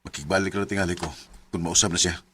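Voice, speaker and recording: A man's voice: sits low, fairly even intonation, fast, lightly acted. An actor playing a frustrated but tender young man in love in a radio drama, with a Cebuano Filipino accent. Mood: weary, conflicted.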